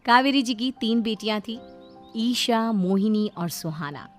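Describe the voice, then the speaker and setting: A woman's voice: on the high side, expressive, unhurried. A woman narrating a scripted family story as the storyteller. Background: background music.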